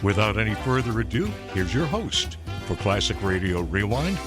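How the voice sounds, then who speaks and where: Casting: male promo announcer, lowish voice with big melodic swings, set over loud music. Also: big pitch swings, moderate pace. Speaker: show announcer.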